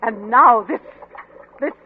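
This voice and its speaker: A woman acting a distraught, accusing wife in a 1940s radio suspense play, pleading emphatically in a husky voice. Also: fairly high pitch, slow, slight background noise. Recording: thin, narrow-band old radio recording.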